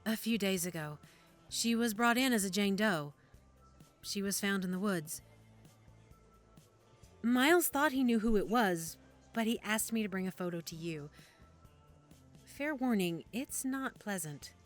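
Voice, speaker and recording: A woman's voice: mid-range voice, lively intonation, measured pace, long pauses, close to an everyday voice. A woman acting in an audio drama as a cautious, helpful medical examiner, with a conversational, slightly hesitant manner. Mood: careful, sober. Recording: music under the dialogue.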